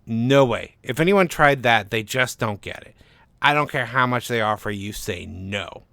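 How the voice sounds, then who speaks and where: A man's voice: mid-range voice, animated, moderate pace, creaky, pushed and bright, no echo. A man voicing a stubborn, defensive, self-important novelist in a short story reading, speaking insistently and indignantly.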